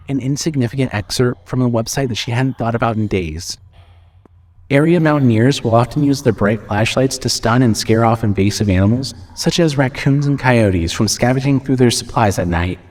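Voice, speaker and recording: A man's voice: medium pitch, a little mellow. A man reading scripted horror fiction as the narrator of an audio drama.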